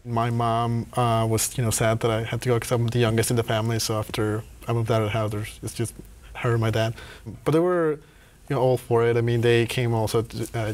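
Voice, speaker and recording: A man's voice: medium pitch, a little mellow. A sociable young man from Pennsylvania, a student, answering interview questions. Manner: sociable, quick.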